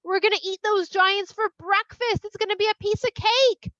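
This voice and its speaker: A woman plays a brave storybook scout with a very high voice. The delivery is urgent, emphatic and encouraging, rallying frightened people. It is recorded dry, slightly dull. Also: fairly even intonation, normal speed, fairly bright tone, no echo. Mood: rousing, confident. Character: brave, reassuring male scout rallying a scared crowd.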